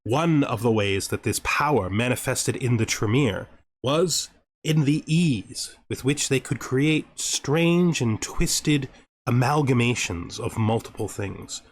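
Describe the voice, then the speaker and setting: A man's voice: mid-range voice, takes it slowly. A man hosting a gameplay series, speaking as a narrator and commentator. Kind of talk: gaming commentary with scripted lore narration.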